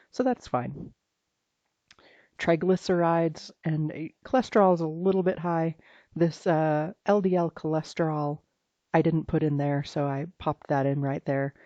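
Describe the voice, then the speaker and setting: A health practitioner, slightly hoarse from allergies, talking through a client's blood test results in a halting, informal way with many restarts. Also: medium pitch, dark tone, no echo, dull audio. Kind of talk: private recorded walkthrough of lab results.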